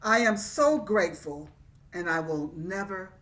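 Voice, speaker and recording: A woman acts out a poet and activist looking back on her life in a dramatic stage reading. She speaks slowly at a middle pitch, with earnest, measured storytelling. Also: a bit bright, natural voice. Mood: grateful. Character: warm, reflective woman poet and activist, reminiscing.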